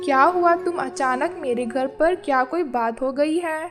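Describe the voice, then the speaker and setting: A woman's voice: sits high, moderate pace, pitched below the performer's own voice, close to an everyday voice. A woman voicing a sly, envious female crow in a children's cartoon, plaintive and defensive. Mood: nervous, defensive. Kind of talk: scripted children's cartoon story. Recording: music behind the voice.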